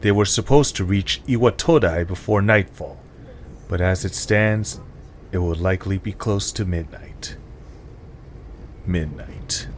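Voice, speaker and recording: Casting: low-pitched man as solemn story narrator, measured and dramatic, clear background noise.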